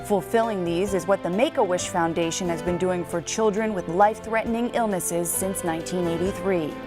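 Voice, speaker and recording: A woman's voice: on the low side, fairly quick, music in the background. A woman hosting a local news show, reading scripted narration in an upbeat, chatty way.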